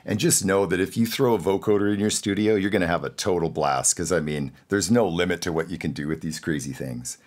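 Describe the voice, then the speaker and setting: A man with a low voice hosts a casual studio tutorial. He explains gear in plain, informal terms and encourages the listener, sometimes restating a phrase to make it clear. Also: a little fast, few pauses. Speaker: host and audio production instructor.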